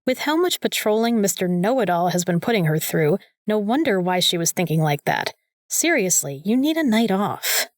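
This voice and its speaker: A woman's voice: mid-range voice, very animated, normal speed, no room sound, lightly acted. Woman playing a snarky bossy college girl in an audio drama, super opinionated, very dry recording. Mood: scolding, sarcastic. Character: bossy, sarcastic young female student, vampire slayer's sidekick.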